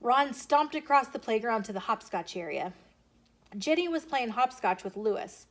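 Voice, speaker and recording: A woman reading a children's story aloud in an animated, expressive way, addressing young listeners directly. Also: a little high, normal speed. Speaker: storyteller host. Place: bookshop, with ambient noise. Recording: clear background noise.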